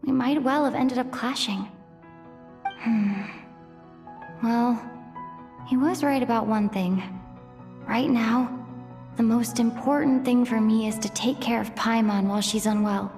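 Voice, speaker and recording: A woman's voice: a little high, unhurried, pauses often, darkish tone, soft background music. A young woman playing a caring, resolute traveler heroine in a video game, earnest and thoughtful. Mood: pensive. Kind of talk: video game cutscene acting.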